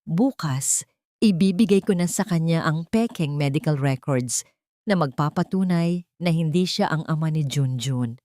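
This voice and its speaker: A woman's voice: low-pitched, a little slow, mellow, dry recording, clean studio sound. A woman voicing a scheming young female villain in an audio story, silky, insinuating and manipulative. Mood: gloating, conspiratorial.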